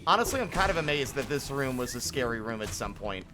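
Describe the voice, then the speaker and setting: A man's voice: mid-range voice, very animated, fast talker, over music. A man giving casual live commentary as a game streamer, rambling and thinking aloud as he goes.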